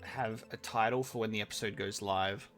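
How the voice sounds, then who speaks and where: A man's voice: on the low side, fairly even intonation, moderate pace, fairly bright tone, soft background music. A man talking casually as a game streamer, in a rambling, informal way with some casual swearing. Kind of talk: casual live-stream chat and game reaction.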